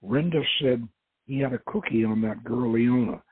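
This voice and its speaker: A man's voice: medium pitch, moderate pace, husky, no echo, natural voice. A man voicing a thoughtful, tender-hearted female archaeologist in an adventure story, musing and plain-spoken. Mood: worried, sad. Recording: muffled phone-like lo-fi audio.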